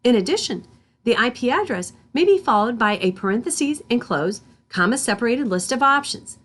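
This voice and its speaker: A woman instructor reading scripted tutorial narration in a deliberate, step-by-step manner. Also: fairly high pitch, lively intonation, a bit bright. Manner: deliberate, spells out terms symbol by symbol.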